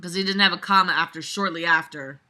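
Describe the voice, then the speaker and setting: A woman's voice: lowish voice, quick pace, bright, forceful tone. A woman giving casual, sarcastic commentary as she reads a book aloud, blunt and exasperated, swearing freely. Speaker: host reading a book aloud and critiquing it. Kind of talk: casual commentary mixed with mocking read-aloud.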